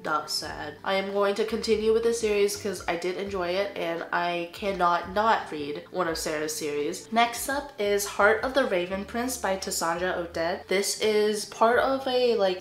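A woman's voice: medium pitch, reverberant. Stuffy-nosed young woman reviewing books. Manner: chatty, emphatic, repeats "really". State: stuffy nose, nasal from allergies. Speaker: book vlogger. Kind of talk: casual book review chat. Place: living room with bookshelves, indoors.

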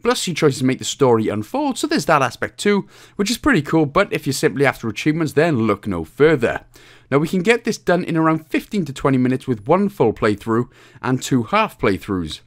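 A man presents a casual game achievement guide. His pitch swings in a lively way as he explains things, and his manner is jokey and a bit crude. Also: a little fast. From Wales. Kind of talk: casual video game walkthrough commentary.

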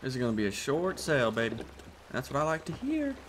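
A man with a put-on British accent, giving casual, excitable commentary over his own gameplay and swearing as he reacts. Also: medium pitch, quick pace, a little hiss or hum. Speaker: gaming channel host.

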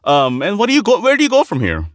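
A man's voice: sits high, huge pitch swings, fast talker, dry recording, studio quality. A man hosting a podcast interview, talking in a casual, conversational style. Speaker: podcast host.

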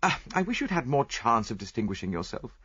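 A man voicing an earnest, impatient, easily baffled British gentleman, eager and exclaiming, in an audiobook mystery. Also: medium pitch, sing-song, brisk, husky, dry recording, dull audio, voice pushed up, full character voice. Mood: puzzled.

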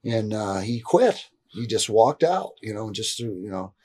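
A middle-aged man with a husky, somewhat low voice tells stories from a couch in a rambling, animated way. He restarts his sentences often as he talks to an interviewer indoors. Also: normal speed. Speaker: interview guest. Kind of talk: casual storytelling in a shoot interview. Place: indoor room, seated on a leather couch.

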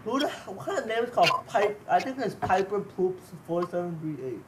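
Lively high-voiced young man, noisy mic. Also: a little fast. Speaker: gamer. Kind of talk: casual chat during online game. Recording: noisy game voice chat.

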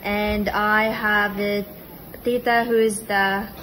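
A woman's voice: medium pitch, little pitch movement, takes it slowly, fairly bright tone. A young woman chatting casually and playfully to a phone camera in a living room, joking as she introduces herself. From Philippines-born, grew up in America. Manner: joking, playful put-on accents. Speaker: guest niece introducing herself on her aunt's vlog. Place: living room indoors, on a sofa. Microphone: phone a short distance away. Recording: clearly noisy home phone recording.

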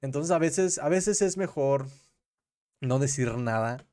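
A man's voice: medium pitch, expressive, takes it slowly, dark tone. A young Mexican man giving casual livestream commentary in an informal, slangy, rambling way, correcting himself as he goes, close on a desk mic at home. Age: young adult. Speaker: commentary streamer and host. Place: small room at home. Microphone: close desk microphone on a boom arm.

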